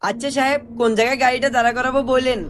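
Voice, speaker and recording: A man's voice: extremely high pitch, level tone, rapid-fire, heavy background noise. A man playing a polite, deferential hired driver in a cartoon. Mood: polite, businesslike. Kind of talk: amateur animated cartoon dub. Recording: loud music behind voice.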